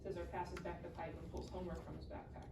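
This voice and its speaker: A woman's voice: fairly low pitch, little pitch movement, quick pace. A woman reading scripted screenplay narration aloud at a live table read. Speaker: stage directions reader. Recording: echoey room, distant mic, clear noise.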